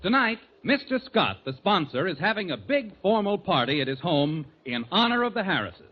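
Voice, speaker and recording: Loud music plays behind a man announcing on a muffled 1940s radio recording. His scripted delivery is high in pitch and highly animated. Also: moderate pace.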